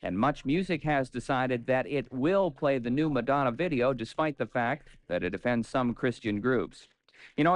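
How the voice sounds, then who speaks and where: A man's voice: mid-range voice, no echo, poor audio. Male radio announcer, scripted showbiz news with chatty asides, mid-range voice, dry studio sound.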